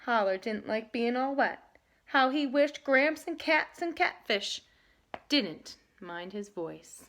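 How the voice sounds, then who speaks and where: Lady teacher doing storytime, kinda high voice, really lively and expressive. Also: slow. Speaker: teacher reading a picture book aloud. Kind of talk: lesson talk and animated storybook reading.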